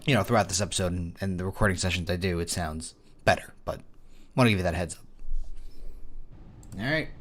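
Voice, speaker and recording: A man with a somewhat low voice, roughened and hoarse from being sick, talks over his gameplay in a loose, unscripted way. He pokes fun at himself and thinks out loud. Small victories make him perk up. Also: slight background noise. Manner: self-mocking, easily excited, thinks aloud. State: hoarse from a cold. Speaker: gaming YouTuber. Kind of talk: casual gameplay commentary.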